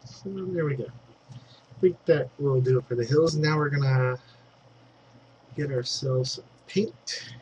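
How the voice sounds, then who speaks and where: A man's voice: mid-range voice, takes it slowly, pauses often, a little mellow, slight background noise, rough recording. A man with a congested throat giving a casual digital painting tutorial, thinking aloud as he works and dropping in fillers. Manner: chatty, thinks aloud while working, trailing fillers.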